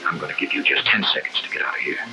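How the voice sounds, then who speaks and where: A man's voice: very fast, over music, natural voice, rough recording. An actor playing a bewildered, indignant American family man in a 1960s propaganda film, with plain, protesting speech. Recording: hissy 1960s film soundtrack.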